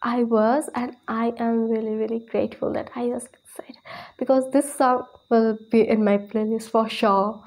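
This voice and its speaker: A woman in her twenties hosting a casual reaction video, gushing and excited, hesitating and repeating words for emphasis. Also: on the high side, normal speed. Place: small plain room indoors.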